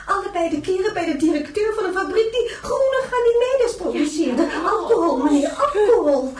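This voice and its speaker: High-pitched, gushing, prim mother. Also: lively intonation, moderate pace, darkish tone. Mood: gushing, indignant. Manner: gushing, repetitive, scandalised. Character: overjoyed, prim, indignant teetotal mother of twins. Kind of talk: radio drama, television interview scene. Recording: distant roomy broadcast with crowd.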